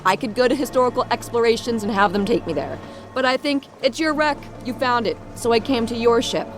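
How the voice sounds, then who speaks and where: A woman's voice: fairly high pitch, brisk, music in the background, natural voice. An actress playing a determined young woman, polite but urgently insistent, in an audio drama. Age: young adult. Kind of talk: full-cast audio drama acting.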